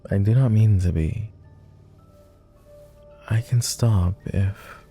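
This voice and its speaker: A man's voice: lowish voice, slow, warm tone, faint music behind. A man playing a clingy, lovestruck and melancholy vampire lord in an intimate boyfriend roleplay ASMR, speaking tenderly in formal, old-fashioned, hesitant phrases. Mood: earnest, tender.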